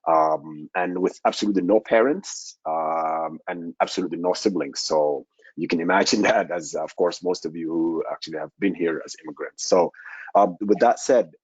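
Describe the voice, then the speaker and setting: A man from Somalia, telling his own story on a panel in a halting, measured way over a video call. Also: sits low, husky, dry recording. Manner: halting, measured, searching for words. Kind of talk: personal account on a panel. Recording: dull lo-fi video call audio.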